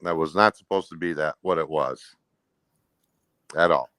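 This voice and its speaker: Male interview guest: low register, close dry sound, casual, meandering, defensive, rough everyday wording. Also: moderate pace, fairly bright tone, no room sound. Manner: rambling, peppered with "you know".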